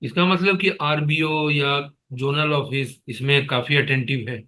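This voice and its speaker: A man's voice: fairly high pitch, steady tone, measured pace, sharp tone. A man chairing a staff conference call in an authoritative, admonishing, questioning manner. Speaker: meeting chair, foundation leader.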